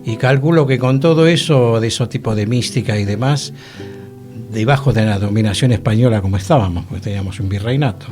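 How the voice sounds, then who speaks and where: A man with a Buenos Aires accent talks casually on a radio panel. His phrasing is informal and wanders as he thinks aloud. Soft guitar music sits behind the voice. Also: lowish voice, fairly quick. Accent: Buenos Aires Argentine. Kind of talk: casual conversation.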